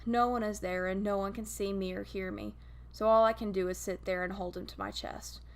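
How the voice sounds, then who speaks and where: A woman voicing a gentle, grieving male guardian angel who tells a story in a wistful, intimate way. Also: medium pitch, expressive, brisk, close to an everyday voice. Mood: tender, wry.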